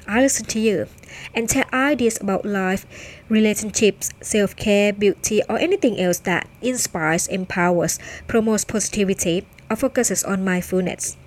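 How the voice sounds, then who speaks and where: A woman from Thailand with a Thai accent, hosting her own podcast in an upbeat, chatty way. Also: mid-range voice. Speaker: podcast host. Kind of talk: casual solo podcast chat.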